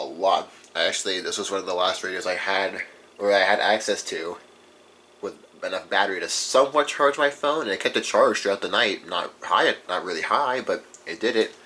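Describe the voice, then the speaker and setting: A man's voice: low-pitched, bright, forceful tone, low-quality recording. A man giving a casual, unscripted show-and-tell as a collector, rambling informally and correcting himself as he goes. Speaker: radio collector presenting his collection. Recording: faint background noise.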